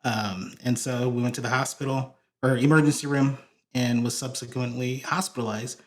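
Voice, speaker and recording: A man's voice: medium pitch, steady tone, normal speed. A man from Hawaii, a guest on a podcast, telling stories in a rambling way with many fillers and restarts. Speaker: podcast guest, church video director. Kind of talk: casual interview chat.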